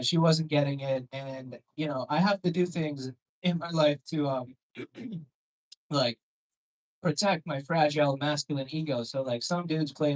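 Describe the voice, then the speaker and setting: A man's voice: fairly high pitch, rough recording. A Canadian man performing stand-up in a comedy club, rambling and conversational, with sardonic asides, swearing and crowd work.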